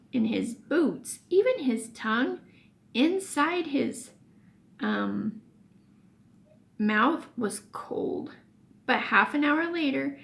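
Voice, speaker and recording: A woman teacher reading a children's story aloud expressively, pausing for emphasis and explaining things as she goes. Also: a little high, gravelly, a bit bright.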